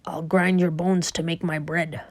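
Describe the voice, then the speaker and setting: A woman reading aloud and voicing a big, slow, grumpy male giant in a low, ponderous voice. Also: level tone, no echo, natural voice.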